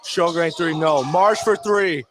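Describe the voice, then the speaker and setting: A man doing live play-by-play basketball commentary in clipped phrases, with excitable calls on big plays. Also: on the high side, a little slow, background noise. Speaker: play-by-play sports announcer. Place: courtside at a basketball game.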